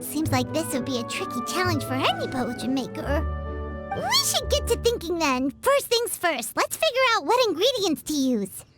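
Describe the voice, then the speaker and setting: A tiny, eager fairy sidekick from a game, squeaking away at an extremely high pitch. The childlike delivery is perky and swoops up and down, with music playing behind. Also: female voice, huge pitch swings, moderate pace, exaggerated voice. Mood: curious. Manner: refers to herself by name, perky. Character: tiny cheerful, eager fairy companion girl. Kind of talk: scripted game dialogue.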